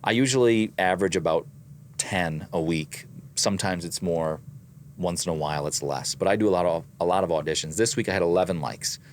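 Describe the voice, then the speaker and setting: A very low-voiced man with a slight Midwestern accent talks through his weekly figures in a casual, methodical way. A faint noise sits behind his voice. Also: fairly quick. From American Midwest. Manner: methodical, reads out numbers. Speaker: voice actor and co-host. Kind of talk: casual business chat.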